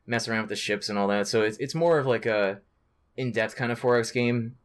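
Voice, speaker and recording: A man co-hosting a podcast in casual, excitable chat about video games, with slangy, sweary talk. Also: mid-range voice, a little fast. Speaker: podcast co-host.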